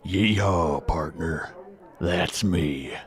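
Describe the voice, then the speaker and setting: Man playing very deep, slow, surly fisherman. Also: full character voice. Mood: folksy. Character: weary, surly drinking fisherman store mascot. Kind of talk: comedic audio drama acting.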